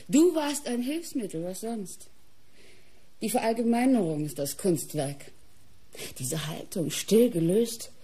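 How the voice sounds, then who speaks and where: An actress in a German radio crime drama playing a painter's bitter, mocking wife, theatrical and measured in delivery. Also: female voice, medium pitch, dark tone, breathy edge.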